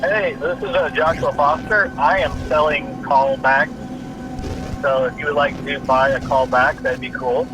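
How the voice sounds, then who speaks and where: A man plays a laid-back, affectionate friend in a fiction podcast. He speaks casually and jokingly at a middling pitch, with music clearly behind him. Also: steady tone, measured pace, natural voice, low-quality recording. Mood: joking, fond. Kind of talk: naturalistic fiction podcast voicemail acting.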